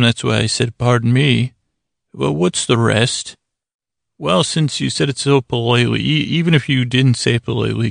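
A man with a gravelly, creaky voice delivers an improvised bedtime podcast monologue. He wanders through tangents, stops to correct himself and apologizes in a whimsical way. The recording is dry and close, with no room sound. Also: medium pitch, dry recording. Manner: meandering, halting, trails off into tangents and self-corrections. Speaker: podcast host.

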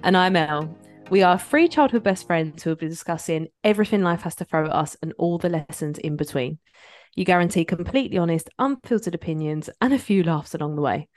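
A woman's voice: lowish voice, lively intonation, fairly quick, darkish tone, soft background music. A young woman co-hosting a podcast, chatting casually and telling stories animatedly, swearing freely. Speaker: podcast co-host. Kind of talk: casual chat among friends.

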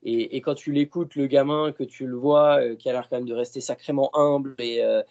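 Mellow-voiced man on a lo-fi podcast line. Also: medium pitch, fairly even intonation, fairly quick. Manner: chatty, rambling, many fillers and restarts. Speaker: podcast guest. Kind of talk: casual podcast chat. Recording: dull lo-fi sound.

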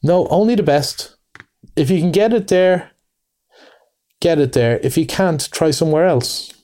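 A man's voice: a little high, a little slow, dark tone, voice pushed up, close to an everyday voice. A man voicing a masterful male water rat in a storybook, hearty, bossy and hospitable. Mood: fussy instructing. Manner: hearty, commanding.

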